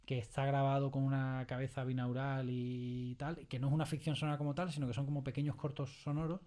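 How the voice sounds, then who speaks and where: Mellow-voiced man from a casual Spanish interview. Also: mid-range voice, little pitch movement, fairly quick. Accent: Spain. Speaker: audio fiction scriptwriter, interview guest.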